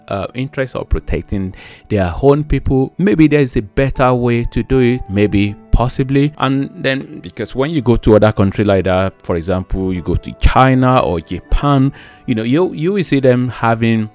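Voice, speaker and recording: Hesitant low-voiced man musing aloud. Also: darkish tone. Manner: hesitant, many fillers and restarts. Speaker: commentator. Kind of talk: casual opinion monologue.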